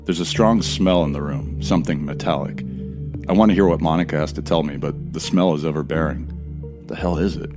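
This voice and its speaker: A man acting as a wry, uneasy hero of a haunted-house audio drama speaks in an extremely deep voice over clearly audible music. He narrates in first person, confiding and descriptive, with a determined streak and colloquial turns. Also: brisk. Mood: apprehensive.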